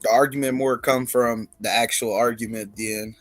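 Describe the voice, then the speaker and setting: A man joining a podcast video call as a contender, easygoing and quick to laugh. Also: mid-range voice, fairly even intonation, faint music behind. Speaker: tournament contender. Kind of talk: casual video-call chat.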